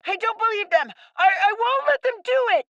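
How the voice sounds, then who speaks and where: Radio play young boy: very high, lively range, plucky and loyal, close dry sound. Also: moderate pace, no room sound, muffled recording, exaggerated voice. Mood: upset, defiant. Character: plucky, suspicious, loyal little boy castaway.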